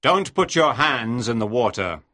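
A woman voicing a stern, steady male ship captain in a storybook reading, speaking firmly. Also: very deep voice, a little slow, dry recording, clean studio sound, pitched below the performer's own voice, exaggerated voice. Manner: firm, commanding.

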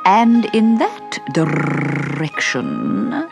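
A woman's voice: low-pitched, big pitch swings, extremely slow, creaky, music in the background, heavily acted. A woman voicing a sly, mad male cat in a storybook, drawling languidly and knowingly.

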